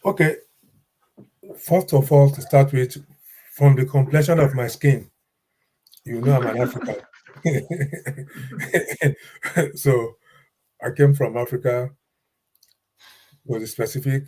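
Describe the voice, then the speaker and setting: A man, a pastor answering a panel question in a measured, emphatic way. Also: mid-range voice, a little mellow, low-quality recording. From Nigeria.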